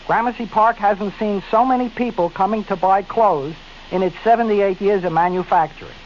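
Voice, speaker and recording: A man's voice: high-pitched, moderate pace. A man reading a radio commercial, emphatic and repeating the address. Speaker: radio announcer. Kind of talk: commercial read. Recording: dull lo-fi 1970s radio with hiss.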